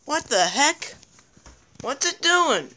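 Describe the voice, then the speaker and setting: A woman's voice: sits high, sing-song, slow pace, sharp tone. A woman filming a ghost-hunting vlog on a handheld phone inside a dim house, exclaiming and repeating herself as she looks around. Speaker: vlogger exploring a house. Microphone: handheld phone camera.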